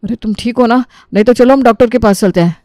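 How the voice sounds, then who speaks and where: A man's voice: very high voice, fast, a little mellow, no room sound, studio quality. Caring middle-aged father-in-law played by a man. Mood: worried. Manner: caring, soothing. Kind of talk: Hindi animated moral story acting.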